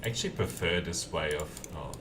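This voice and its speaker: A man's voice: low voice, brisk, noisy background. A man from Germany with a mild German accent and an Australian twang, chatting easygoingly with viewers while he builds in a game. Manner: easygoing, chatty, answers viewer questions between tasks. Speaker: game streamer. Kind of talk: casual live-stream chat while building in a game. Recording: distant mic with keyboard typing behind.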